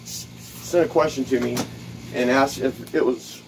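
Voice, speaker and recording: Man, DIY host at his workbench, plain mid-pitched voice, loose and rambling, faint shop hiss behind him. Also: steady tone, measured pace, creaky, rough recording. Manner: rambling, repeats words, many fillers. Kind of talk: casual workshop how-to demonstration. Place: cluttered home workshop at a workbench.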